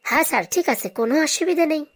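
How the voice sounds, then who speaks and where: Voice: high voice, lively intonation, very fast. A performer voicing a brazen, glib schoolboy in a comedy cartoon. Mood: polite, eager. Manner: glib, answers back with absurd confidence. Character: cheeky, brazen schoolboy with buck teeth.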